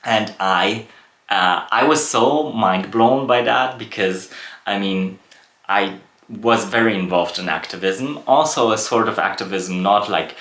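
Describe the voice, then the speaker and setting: A man's voice: fairly low pitch, measured pace, a bit bright. A man in his thirties from Poland, hosting a reflective solo podcast, thoughtful and full of fillers and restarts. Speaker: podcast host. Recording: echoey room.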